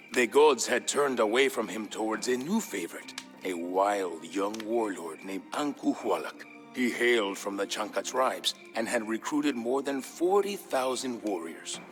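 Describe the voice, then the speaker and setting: A man reading a scripted historical tale as a storyteller, in a measured, solemn manner. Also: on the low side. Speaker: narrator of a game campaign storyline. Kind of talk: scripted dramatic storytelling narration.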